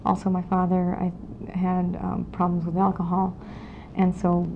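Interviewee: a woman in her forties from Los Angeles, low and flat, with a gravelly edge, recalling family history with pauses, on dull old tape. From Los Angeles, California. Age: 40s. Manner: reflective, halting, with many pauses and fillers. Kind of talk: recorded oral history interview. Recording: dull lo-fi 1992 tape with faint hiss.

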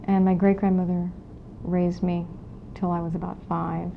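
Interviewee: a woman in her forties from Los Angeles, low and flat, with a gravelly edge, recalling family history with pauses, on dull old tape. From Los Angeles, California. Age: 40s. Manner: reflective, halting, with many pauses and fillers. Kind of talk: recorded oral history interview. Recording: dull lo-fi 1992 tape with faint hiss.

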